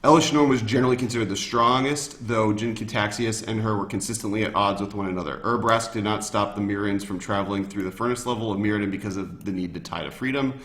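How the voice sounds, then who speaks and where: Echoey low-voiced man explaining game lore. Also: quick pace, few pauses, a bit bright. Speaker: guest commentator on a card game. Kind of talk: casual explanatory chat. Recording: roomy, echoey sound.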